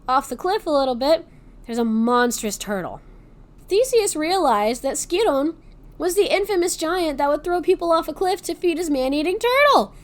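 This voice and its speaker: A woman hosting a podcast, casually retelling a Greek myth with profanity and sarcastic asides. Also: high-pitched. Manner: swears freely, laughs, adds sarcastic asides. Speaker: podcast host.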